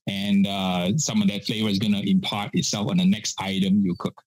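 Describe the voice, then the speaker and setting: A man's voice: lowish voice, flat delivery, a little fast, darkish tone, no echo, low-quality recording. A man in his sixties from Malaysia, a pitmaster guest telling stories in a casual podcast interview with lots of fillers. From Malaysia (Penang). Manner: chatty storyteller, many "uh" fillers, laughs often.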